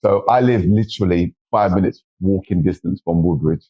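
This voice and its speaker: East london bloke, low husky voice, laid-back chat, keeps restarting his sentences. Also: moderate pace, lo-fi sound. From East London, England. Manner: relaxed, rambling with restarts and frequent agreement. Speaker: podcast guest, counsellor. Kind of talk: casual interview chat.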